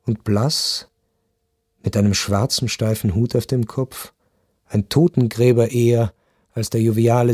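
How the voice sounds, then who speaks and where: A man in a radio play acting a melancholy, detached baron who tells his story in measured, sombre literary narration. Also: medium pitch, a little mellow, dry recording, clean studio sound, natural voice. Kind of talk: literary first-person narration in radio drama.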